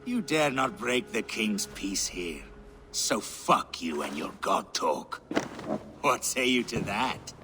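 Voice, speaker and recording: A man's voice: fairly low pitch, expressive, slow pace, over loud music. A man playing an arrogant, mocking Viking villain in a video game, taunting his rival. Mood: sneering, taunting. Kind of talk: video game dramatic acting.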